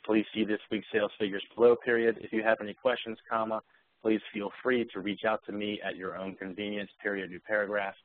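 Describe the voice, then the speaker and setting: A man giving a live software demonstration, explaining features and speaking voice commands as he goes. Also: on the low side, little pitch movement, a little fast, no room sound, narrow, tinny audio.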